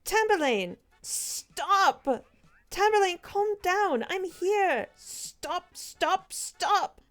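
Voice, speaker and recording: A woman's voice: extremely high pitch, animated, extremely slow, fairly bright tone, full character voice. A woman voicing an anxious, devoted mother, pleading and worried.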